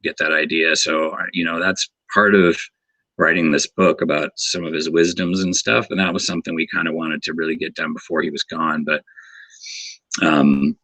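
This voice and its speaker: A man being interviewed as a martial arts instructor, talking earnestly and haltingly, often repeating words as he searches for them. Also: very deep voice, flat delivery, bright, forceful tone, dry recording, poor audio. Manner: earnest and grateful. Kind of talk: casual interview talk.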